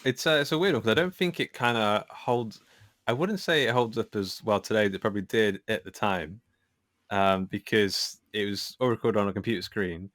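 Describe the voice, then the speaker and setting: A man's voice: lowish voice, brisk, a bit bright, dry recording, clean studio sound. A man speaking as a podcast guest, casually recounting his creative work in a self-deprecating way. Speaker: podcast guest, author and filmmaker.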